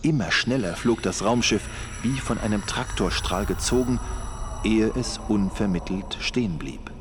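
A man's voice: fairly low pitch, normal speed, background noise, lightly acted. A man acting as the solemn narrator of a German sci-fi audio drama, reading dramatic scripted narration. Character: male storyteller narrating a space adventure, solemn, dramatic.

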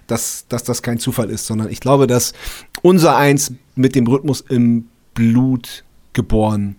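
Man hosting a casual interview, mid-pitched voice. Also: unhurried, darkish tone. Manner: repeats words as he searches for phrasing. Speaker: podcast host.

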